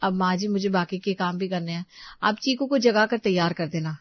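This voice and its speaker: A woman's voice: medium pitch, moderate pace, dry recording, highs cut off, natural voice. A woman voicing an exasperated, thrifty young housewife who explains and protests in a family moral story. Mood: explaining. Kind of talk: Hindi moral-story character dialogue.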